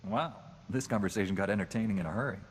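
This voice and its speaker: A man's voice: on the low side, fast talker, big-room sound, a little hiss or hum, close to an everyday voice. A man voicing a dry, sardonic male starship captain in a video game. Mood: wry.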